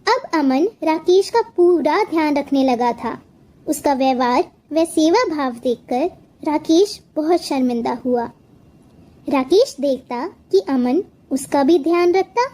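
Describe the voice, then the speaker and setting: A woman's voice: sits high, warm tone. A woman narrating a scripted moral story as a storyteller.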